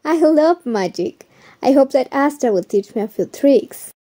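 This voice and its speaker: A woman playing a cheerful, caring young woman in an audio drama, with a soft, husky voice. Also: high voice, expressive, a little slow. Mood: upbeat, reassuring. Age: young adult.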